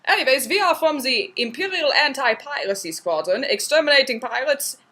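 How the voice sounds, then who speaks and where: Lady doing a cheeky boastful germanic airship-captain type, high voice, up and down a lot, very theatrical. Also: expressive, fairly quick, sharp tone. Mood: playfully boastful. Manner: theatrical, playfully grandiose. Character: cheerful, boastful Germanic female steampunk pirate-hunting captain. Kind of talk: in-character improvised interview.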